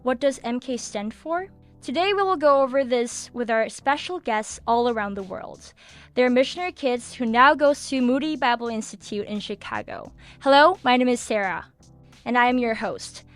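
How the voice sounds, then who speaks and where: A young woman hosts a casual video podcast, asking her guests question after question. Her voice sits a little high and she talks in a chatty way, with lots of "like". Soft music plays faintly behind her. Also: lively intonation, moderate pace. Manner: chatty, asks questions with filler "like". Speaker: host.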